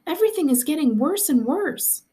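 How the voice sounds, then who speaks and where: A woman's voice: high-pitched, animated, a little fast, mellow, pitched above the performer's own voice. A woman reading a storybook aloud as an agitated, defiant schoolboy, urgent and insistent. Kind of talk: storybook dialogue read aloud.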